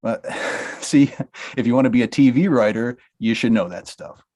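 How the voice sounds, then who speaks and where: A man's voice: medium pitch, moderate pace, a little mellow, natural voice. A man reading the part of a blunt, money-minded talent manager in a comedy table read. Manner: brusque, dismissive, laughs through lines.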